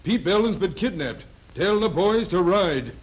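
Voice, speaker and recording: An actor playing a brisk, commanding western sheriff in an old radio drama, clipped and urgent, on a noisy vintage soundtrack. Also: male voice, sits high, expressive. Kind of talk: 1930s radio western acting.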